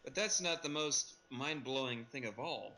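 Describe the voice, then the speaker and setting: Bright-voiced man chatting over background noise. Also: medium pitch, normal speed. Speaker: podcast panelist. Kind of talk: casual film discussion, recapping a movie.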